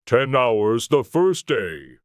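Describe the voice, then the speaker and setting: A woman voicing a melancholy, touchy old turtle creature, slow and mournful, in a dramatized audiobook. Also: very deep voice, sing-song, dry recording, studio quality, full character voice.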